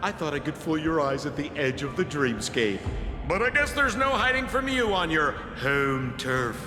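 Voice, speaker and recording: A sly, showy trickster from a video game whose slightly high voice swoops dramatically up and down. He sounds as if he is in a large echoing hall, with music playing behind him. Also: very animated, moderate pace. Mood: mocking. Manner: theatrical, sing-song, wildly swooping. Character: sly, showy man, smooth and mocking. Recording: echoey hall reverb.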